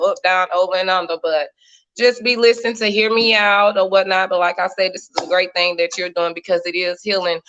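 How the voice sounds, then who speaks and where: A woman in her thirties with a bright, forceful voice tells her story on a video call. She talks in an animated way, laughs often and drops churchy phrases. The stream sound is dull and lo-fi. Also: fairly low pitch. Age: 30s. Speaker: guest. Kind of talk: casual chat.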